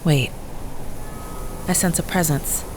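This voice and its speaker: An actor playing a grave, otherworldly telepathic parent in an audio drama, speaking in measured, formal words. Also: male voice, fairly high pitch, steady tone, background noise. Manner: stilted, formal, measured wording.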